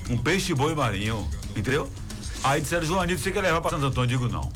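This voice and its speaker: A man hosting a radio show, chatting and joking fast in casual banter. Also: on the high side, expressive, over music. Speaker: radio host.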